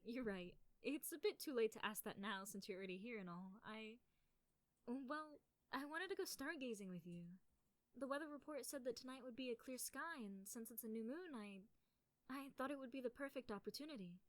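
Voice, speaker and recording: A young woman playing a shy, nerdy, easily flustered girlfriend in a soft, intimate ASMR roleplay, with a hesitant, stammering delivery. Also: fairly high pitch, lively intonation, moderate pace, no echo, close to an everyday voice. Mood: embarrassed, flustered. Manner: hesitant, stammering, giggly when embarrassed.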